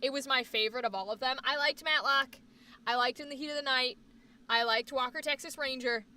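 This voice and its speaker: A woman with a high, bright voice and a slight Boston accent, chatting loosely on her livestream. She rambles and repeats words for emphasis, and sounds a little away from the mic. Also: brisk, far from the mic. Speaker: livestream host. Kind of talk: casual chat stream.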